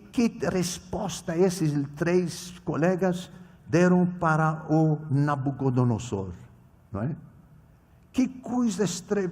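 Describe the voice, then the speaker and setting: Man, non-native speaker, sermon, slow and emphatic, restarts words, long hall echo. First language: not Portuguese. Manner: emphatic, swings between loud high exclamations and low quiet asides; halting, repeats and corrects words mid-phrase. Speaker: preacher at a children's ministry conference. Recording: echoey hall reverb.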